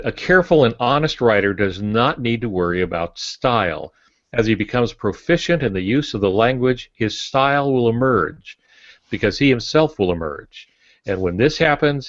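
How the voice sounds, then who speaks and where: Low-voiced man on a lo-fi podcast. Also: no echo. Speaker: podcast co-host discussing writing. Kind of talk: casual podcast discussion.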